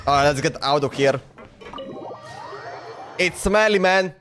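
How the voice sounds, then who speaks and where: High-voiced, slow-talking male game streamer. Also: fairly bright tone, faint music behind. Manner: playful, repeats phrases. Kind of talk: casual live stream commentary.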